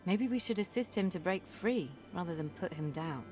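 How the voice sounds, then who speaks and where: A woman's voice: on the low side, lively intonation, normal speed, darkish tone, lightly acted. An actress voicing a pragmatic, hardened warrior woman in a fantasy video game, serious and direct. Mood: earnest. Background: game music.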